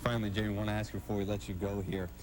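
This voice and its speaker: Male sports show host, quick and slightly low, polished broadcast delivery, clearly noisy background. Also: level tone. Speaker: TV show host and hockey play-by-play announcer. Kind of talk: sports interview hosting.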